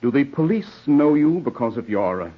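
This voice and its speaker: An actor playing a composed, authoritative gentleman in a 1940s radio drama, husky-voiced, measured and questioning. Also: medium pitch, very animated. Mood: grave, controlled. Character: composed, commanding English gentleman, brisk and probing. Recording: muffled vintage radio recording.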